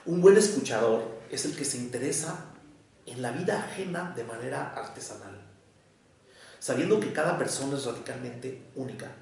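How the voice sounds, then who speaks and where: A man with a Mexico City accent lectures in a slow, deliberate cadence, breaking his thoughts into short phrases. His voice has a gravelly edge and sits at an everyday pitch. The recording is heavily echoey, with the mic well away from him. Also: expressive, darkish tone. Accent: Mexican Spanish, Mexico City. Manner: measured, deliberate, with short pauses between phrases. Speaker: psychoanalyst giving a lecture. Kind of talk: academic lecture to an audience. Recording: echoey room, voice picked up from far off.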